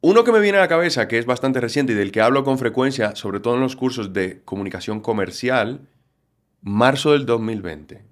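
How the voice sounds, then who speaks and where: A Dominican man with a Galician-tinged Spanish accent, explaining things in a lively way as a guest on an interview podcast. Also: medium pitch, a little fast. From Dominican Republic. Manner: animated, explanatory, acts out quotes. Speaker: communication trainer, guest.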